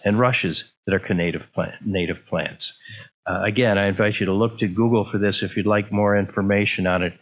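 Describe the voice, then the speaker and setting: Male presenter, lowish pitch, formal measured delivery of a prepared educational talk.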